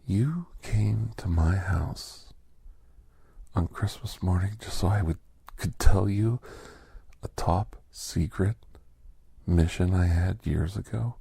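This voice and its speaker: Male actor as genial old scientist, low husky voice, very creaky, slow folksy ASMR storytelling. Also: warm tone, no room sound, heavily acted. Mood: confiding, reminiscing. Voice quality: breathy, gravelly half-whisper. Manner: unhurried, folksy, halting, conversational. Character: genial old doctor, a retired secret government scientist, reminiscing. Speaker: storytelling podcast host in character. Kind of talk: ASMR storytelling, soft-spoken roleplay.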